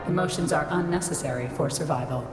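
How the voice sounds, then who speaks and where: Low, echoing female computer voiced by a woman. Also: quick pace, natural voice. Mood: cold, impassive. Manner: clipped, emotionless, formal machine-like delivery. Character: cold female computer system guarding human civilization. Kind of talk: scripted game voice acting. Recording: long echoing reverb, music behind.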